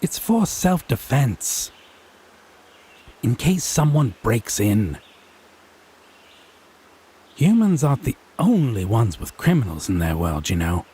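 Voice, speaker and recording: A man playing a haughty, teasing, possessive fae in a scripted audio roleplay, speaking in wry, theatrical, deliberate phrases. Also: mid-range voice, sing-song, dark tone, slightly rough, exaggerated voice. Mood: lecturing, dry.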